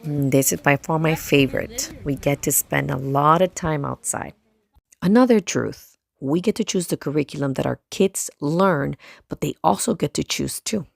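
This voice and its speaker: A woman's voice: deep voice, normal speed, creaky. A woman narrating a vlog as a homeschooling mother, speaking in short emphatic phrases and posing rhetorical questions. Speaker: homeschooling mother and video host. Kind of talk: scripted-sounding narration for a vlog. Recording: music playing behind the voice.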